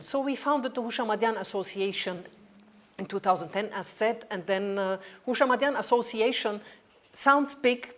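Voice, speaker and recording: Hesitant woman giving a lecture talk. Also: fairly high pitch. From Germany. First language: Armenian. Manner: hesitant, with frequent ums and restarts. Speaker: project founder speaking at an academic event.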